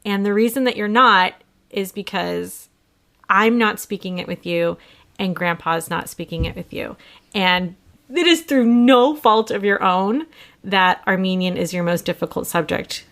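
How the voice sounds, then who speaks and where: A middle-aged woman hosting a podcast, talking candidly and gently with her daughter, apologetic and coaxing. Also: mid-range voice, unhurried, creaky. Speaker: podcast host and mother.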